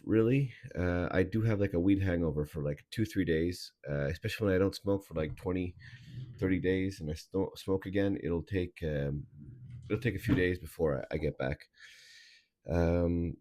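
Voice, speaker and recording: A man talking in a halting, casual confessional monologue, his voice raspy and nasal from a hangover, with many fillers and restarts. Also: deep voice, darkish tone. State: hoarse, nasal from a weed hangover. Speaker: vlogger.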